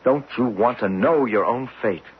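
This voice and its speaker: An actor playing a desperate, obsessed geologist in an old radio drama, speaking with insistent intensity. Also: male voice, medium pitch, moderate pace, breathy edge. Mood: urgent. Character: obsessed, desperate male geologist urging belief in his discovery. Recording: muffled, hissy old radio recording.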